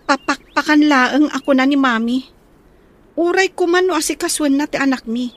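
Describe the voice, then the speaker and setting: Actress as very high-voiced, fed-up young wife. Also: female voice, normal speed, close to an everyday voice. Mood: frustrated. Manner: exasperated, complaining. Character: fed-up young mother, nagging her jobless husband. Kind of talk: Ilocano radio drama acting.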